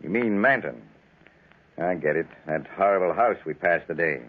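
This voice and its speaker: An actor playing a formal, wryly skeptical gentleman in 1940s radio style. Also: deep voice, animated, normal speed, slightly rough. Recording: muffled, tinny 1940s radio recording.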